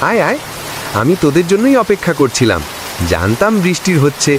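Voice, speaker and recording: Hugely animated easygoing cartoon villager. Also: male voice, fairly high pitch, a little fast, background noise. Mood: welcoming. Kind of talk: cartoon voice acting.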